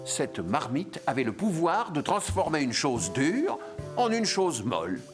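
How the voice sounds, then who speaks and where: Cartoon narrator, gentle storytelling, animated pitch, music behind. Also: a little slow.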